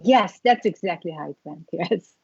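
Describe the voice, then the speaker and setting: A woman's voice: on the low side, expressive, vocal fry, a little mellow, breathy edge, no echo. Woman, Hungarian accent, middle-aged, reflective storyteller, dry muffled sound. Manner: reflective, halting with many restarts, laughs lightly. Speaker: guest, skincare founder. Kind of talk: interview storytelling.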